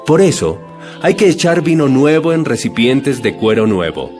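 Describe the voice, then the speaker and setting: Man acting calm authoritative male teacher, solemn, expressive intonation, audio Bible drama, music behind. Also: medium pitch, moderate pace, natural voice. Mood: instructive.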